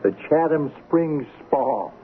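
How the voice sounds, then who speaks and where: A man's voice: fairly high pitch, expressive, slow pace. A man playing a genial, folksy small-town sheriff and father in a radio drama, breezy and droll. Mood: breezy, teasing. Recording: tinny, noisy old radio broadcast.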